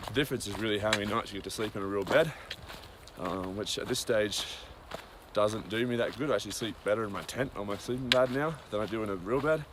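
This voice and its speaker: A man's voice: lowish voice, fast talker, background noise. A man giving a casual, rambling daily update on a hiking trail, with many ums and side tangents. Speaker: long-distance hiker. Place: outdoors on a cold trail.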